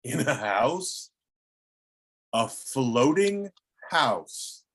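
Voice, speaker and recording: A man playing an imposing, mocking old explorer in a cartoon script read, with drawn-out, incredulous delivery. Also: medium pitch, very animated, a bit bright, full character voice. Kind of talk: amateur table read of an animated film script.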